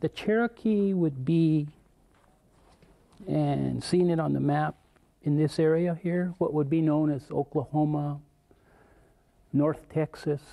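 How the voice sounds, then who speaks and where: A young American man from the Southwest answers questions as a classroom guest, relaxed and a little hesitant. His voice sits somewhat high yet mellow and soft. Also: slow pace, vocal fry, pauses often. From southwestern United States. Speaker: guest, teacher trainee. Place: school studio.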